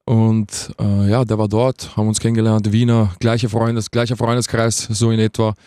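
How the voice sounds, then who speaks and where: Man, twenties, radio interview guest, casual, warm dark tone, dry sound. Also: fairly low pitch, flat delivery, moderate pace, dry recording. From Vienna, Austria. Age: 20s. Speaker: guest rapper being interviewed.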